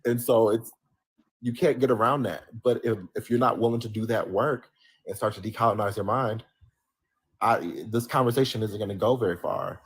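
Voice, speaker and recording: An American man makes his case firmly on a livestream call, lecturing and pressing his point. His voice sits low and husky, and he speaks with dry, wry asides. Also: moderate pace. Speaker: livestream guest. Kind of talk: heated livestream debate.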